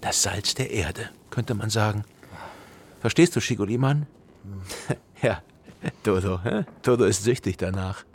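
An actor playing an eccentric, kindly goat herder who chuckles and drawls folksy philosophy in a German radio play. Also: male voice, on the low side, measured pace, long pauses, a little hiss or hum. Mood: musing, amused. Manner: drawling, chuckling, ponderous pronouncements.

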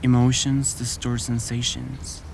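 Detached reciter, monotone male voice, aphorisms, radio play, clear background noise. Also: medium pitch, unhurried.

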